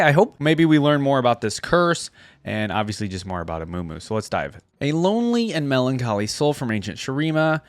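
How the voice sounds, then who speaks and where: A man's voice: mid-range voice, no room sound. A man speaking as a reaction video host in an informal, chatty way, switching between casual commentary and reading aloud. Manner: informal, chatty, addresses viewers directly.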